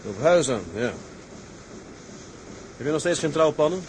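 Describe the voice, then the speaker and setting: A man's voice: on the high side, expressive, a little slow, darkish tone, slight background noise, low-quality recording. A man playing a stern, lonely, principled tugboat captain in a radio drama, speaking earnestly and tersely. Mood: matter-of-fact. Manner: earnest, terse, matter-of-fact. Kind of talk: Dutch radio drama acting.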